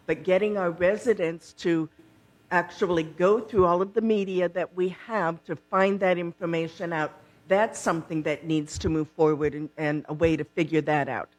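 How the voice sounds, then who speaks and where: A woman giving informational remarks at a public meeting, explaining point by point and pausing often mid-sentence. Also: fairly low pitch. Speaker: board candidate at a community forum.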